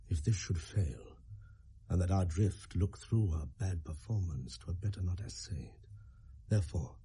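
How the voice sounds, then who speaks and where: An actor with a British accent playing a calculating, murderous middle-aged king in a Shakespearean radio drama, speaking in a measured, plotting way. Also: sits low, a little mellow, husky, heavily acted.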